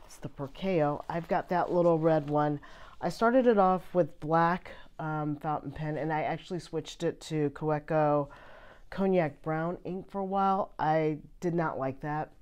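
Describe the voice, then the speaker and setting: Woman, low pitch, slightly rough from a cold, casual rambling desk vlog. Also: darkish tone. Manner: chatty, rambling with many ums. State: recovering from a cold, voice a bit off. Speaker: fountain pen channel host. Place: at a desk indoors.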